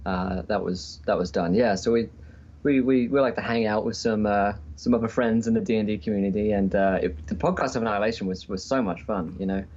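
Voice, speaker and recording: Male podcast guest, lower register, conversational and hesitant, dull remote-call sound. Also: a little fast, darkish tone. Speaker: podcast guest, tabletop game streamer. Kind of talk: casual interview chat.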